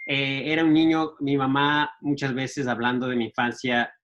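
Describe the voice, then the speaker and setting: Man in his forties, casual storyteller, halting restarts, slightly muffled sound. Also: medium pitch, flat delivery, fairly bright tone. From Ecuador. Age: 40s. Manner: halting, many restarts and fillers, laughs at himself. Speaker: guest entrepreneur.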